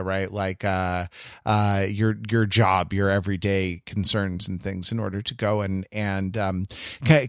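A man co-hosting a podcast in casual conversation, rambling and halting as he circles back to rephrase his thoughts. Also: low-pitched, no room sound, studio quality. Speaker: podcast co-host.

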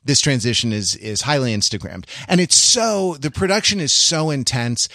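A man's voice: medium pitch, animated, moderate pace, pushed and bright, no echo, studio quality. A man co-hosting a casual podcast, explaining things in a rambling, analytical way. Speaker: podcast co-host.

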